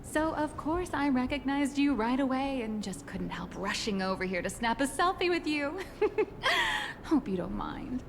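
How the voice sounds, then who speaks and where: A woman playing a gushing, overfamiliar young corporate agent in a video game, breezy and quick to apologise. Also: high voice, lively intonation, a little hiss or hum. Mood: breezy, cheerful.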